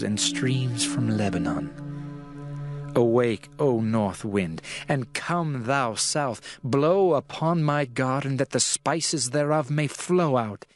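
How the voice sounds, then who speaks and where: A man's voice: medium pitch, close to an everyday voice. A man playing an ardent, adoring bridegroom in a dramatized scripture reading, solemn, tender and declamatory. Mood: enraptured. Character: adoring male lover and bridegroom, poetic and ardent. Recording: music under the voice.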